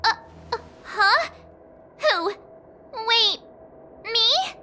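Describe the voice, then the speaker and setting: Very high, perky, flustered teenage girl. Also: expressive, drawn-out words, bright, forceful tone, soft background music, full character voice. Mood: startled, flustered. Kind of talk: anime game voice acting.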